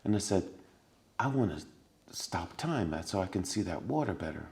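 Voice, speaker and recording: Deep-voiced man telling a vivid story. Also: moderate pace, creaky. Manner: animated storyteller who acts out scenes with sound effects and laughter; drops to a hushed, quiet voice for remembered lines. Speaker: podcast guest. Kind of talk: casual interview storytelling.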